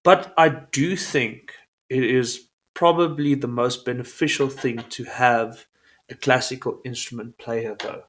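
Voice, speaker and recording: A man, an Afrikaans speaker, hosting a casual interview, animated and swearing freely. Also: mid-range voice, unhurried. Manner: animated, swears, acts out quotes. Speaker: podcast host.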